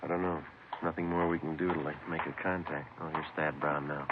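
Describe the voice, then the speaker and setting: An American man playing a terse, businesslike detective sergeant in a 1950s radio crime drama, deadpan and clipped, on a noisy vintage recording. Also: extremely low pitch, brisk, narrow, tinny audio. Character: terse, businesslike male police detective sergeant narrating his case.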